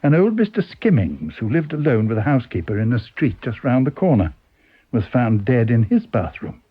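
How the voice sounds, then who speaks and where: A man's voice: medium pitch, sing-song, moderate pace, breathy edge, narrow, tinny audio. A man with a British accent reading a scripted story as a dramatic narrator. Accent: British English. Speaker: audiobook reader.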